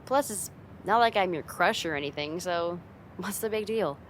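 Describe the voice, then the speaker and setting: A woman's voice: lowish voice, normal speed, a little hiss or hum, close to an everyday voice. A woman playing a confident, teasing young woman, a friend's older sister, in a casual ASMR roleplay spoken straight to the listener. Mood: exasperated, coaxing. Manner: playful, teasing, casual, giggly, lightly exasperated.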